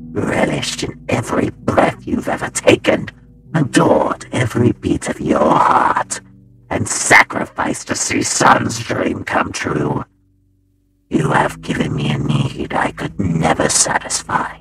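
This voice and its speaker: A man voicing a tormented, brooding animatronic in a comic dub, menacing yet devoted, with a breathy growl and an intense, dramatic delivery. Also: fairly bright tone, heavily acted. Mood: angry, possessive. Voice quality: very deep, gravelly, breathy growl.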